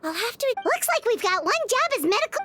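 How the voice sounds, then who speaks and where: A woman voicing a kind, small, sweet young head nurse in a video game, with a gentle, reassuring manner. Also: very high-pitched, big pitch swings, quick pace. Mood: caring, reassuring. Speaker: game character voice.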